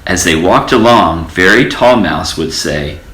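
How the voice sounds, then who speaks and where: A man reading a children's storybook aloud as an expressive storyteller, in an echoey room. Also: deep voice, measured pace. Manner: expressive storytelling with character voices. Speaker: storybook reader.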